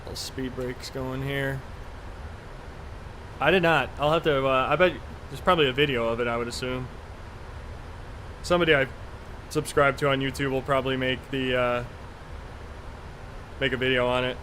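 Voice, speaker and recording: Casual man over steady hum. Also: medium pitch, normal speed, pauses often, rough recording. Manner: rambling, offhand, mildly profane. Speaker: flight sim live streamer. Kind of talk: casual chat while playing a flight simulator.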